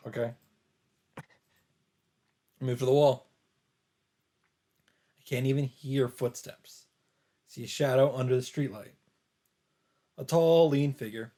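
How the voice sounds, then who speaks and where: Mellow-voiced man reading a game aloud. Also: medium pitch, slow pace, pauses often. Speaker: let's player. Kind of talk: reading visual novel narration aloud.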